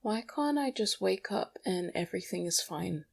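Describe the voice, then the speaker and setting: A woman's voice: medium pitch, expressive, moderate pace, vocal fry, breathy edge. A young woman podcasting alone in a rambling, confessional monologue, anxious and self-deprecating. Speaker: podcast host. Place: small bedroom at home. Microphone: small microphone.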